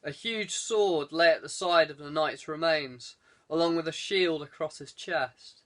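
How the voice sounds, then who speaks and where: A man's voice: on the high side, measured pace, fairly bright tone. A man reading an adventure novel aloud as scripted audiobook narration. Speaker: audiobook reader.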